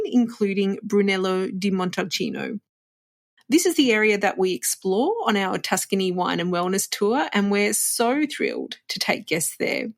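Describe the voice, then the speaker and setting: A woman hosting a travel podcast, upbeat and conversational, chatting with her guests. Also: medium pitch. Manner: upbeat, conversational, gives tips. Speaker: podcast host.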